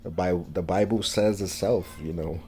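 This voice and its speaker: Halting deep-voiced New man. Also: steady tone, darkish tone, slight background noise. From New York, USA. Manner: halting, many fillers and restarts. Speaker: vlogger. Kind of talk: casual monologue to camera.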